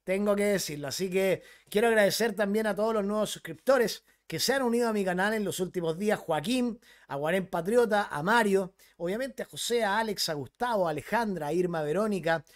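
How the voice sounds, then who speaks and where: A man in his fifties from Chile with a Chilean accent, hosting a casual unboxing video from a dark home studio on a headset mic, eager and repetitive with many hesitations. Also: high voice, brisk, hardly any pauses. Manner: enthusiastic, repetitive, frequent hesitations. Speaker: YouTube host.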